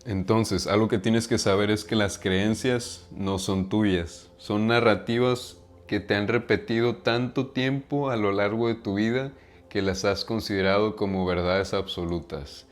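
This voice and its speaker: A man's voice: fairly low pitch, fairly even intonation, measured pace. A man from Mexico with a Mexican accent, talking casually to his viewers about self-improvement, often tagging his sentences with a questioning "right?" and using slang. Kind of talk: casual monologue to camera.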